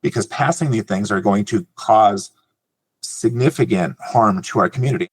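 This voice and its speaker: A man with a very low voice speaks off the cuff as a community advocate in an interview. The recording is dry and close. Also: moderate pace, husky, no room sound. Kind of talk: spontaneous interview remarks.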